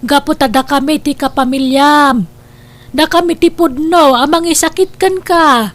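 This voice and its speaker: A woman's voice: high voice, unhurried. A woman with an Ilocano accent playing an older, scheming mother in an audio drama, insistent and scolding with her grown children. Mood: suspicious, persuasive. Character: scheming, nagging older mother, possessive of son's money.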